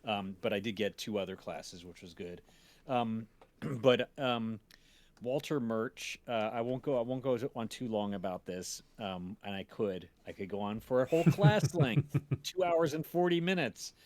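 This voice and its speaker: A man talking as a teacher on a livestream, explaining informally in a halting, digressive way. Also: on the low side, darkish tone. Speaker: sound design teacher.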